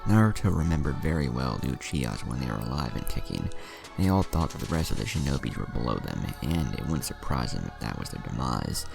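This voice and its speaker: A man with an extremely low, heavily creaky voice narrates a scripted story. Music plays clearly behind his narration. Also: very animated, brisk. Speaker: fan fiction narrator.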